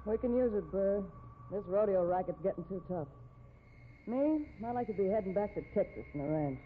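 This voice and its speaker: Muffled, faintly noisy vintage radio frames a tough American cowgirl played by an actress. She is a quarrelsome, worldly wife who talks in blunt, sassy Western slang with big, animated swings of pitch. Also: fairly quick, lightly acted. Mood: blunt, needling. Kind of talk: 1950s radio drama acting. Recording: muffled, hissy old radio broadcast.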